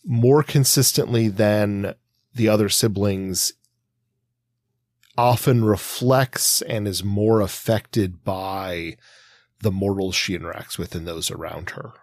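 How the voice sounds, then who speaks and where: Low-voiced man thinking aloud, dry sound. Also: steady tone, slow pace, darkish tone, no echo. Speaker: podcast co-host. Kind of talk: casual analytical discussion.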